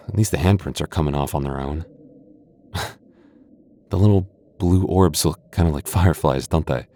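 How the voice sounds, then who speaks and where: A man's voice: a bass voice, moderate pace, long pauses, dark tone. A man voicing a playful, teasing young goth boyfriend in an ASMR roleplay, often stammering and chuckling. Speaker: voice actor.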